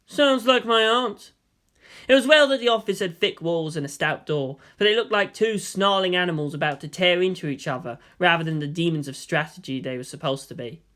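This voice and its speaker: A male narrator reads a piece of fan fiction in audiobook style. His voice sits clearly higher than most men's, and he delivers the prose as scripted storytelling. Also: brisk, hardly any pauses, a bit bright.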